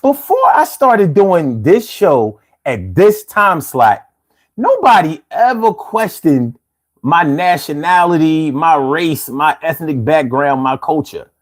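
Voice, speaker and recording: A man's voice: on the high side, big pitch swings, slow. A man hosting a casual live stream, reading out viewer comments with laid-back, chuckling talk, from the United States.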